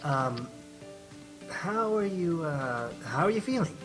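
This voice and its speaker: A man's voice: on the high side, very animated, slow pace, low-quality recording. A man playing a gloomy, timid, earnest dragon with a hesitant delivery in a radio play. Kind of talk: radio drama monologue and dialogue. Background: loud music bed.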